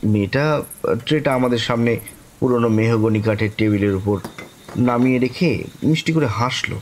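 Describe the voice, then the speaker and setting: A man playing a courteous young man who narrates his own eerie encounter in a horror audio story, lingering and expressive. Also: mid-range voice, normal speed, a little hiss or hum, natural voice. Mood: smitten, wistful. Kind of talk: dramatic first-person horror story narration.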